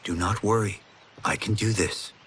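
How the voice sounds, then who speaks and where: An actor playing a courteous, earnest young fighter in a game cutscene, speaking politely and with restraint. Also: male voice, on the low side, fairly even intonation, moderate pace, a bit bright, a little hiss or hum, lightly acted. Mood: modest resolve. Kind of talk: dramatic video game cutscene acting.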